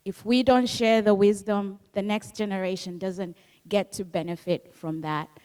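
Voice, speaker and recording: A woman's voice: medium pitch, steady tone, moderate pace, warm tone, clear, resonant tone, rough recording. A woman from Zambia, Bemba her first language, talking earnestly and reflectively about her book at a book club. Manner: earnest, reflective, repeats key phrases. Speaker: author.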